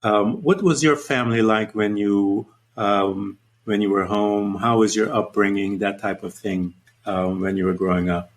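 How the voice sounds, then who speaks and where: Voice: sits low, steady tone, a little slow, few pauses. A podcast host asking interview questions in an encouraging way, with many fillers. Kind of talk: interview hosting.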